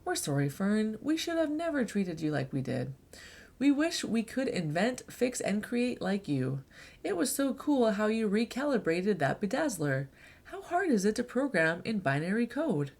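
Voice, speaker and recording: A woman's voice: mid-range voice, sing-song, normal speed, a little mellow. A woman voicing young storybook unicorns in a pleading, earnest manner. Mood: apologetic, eager.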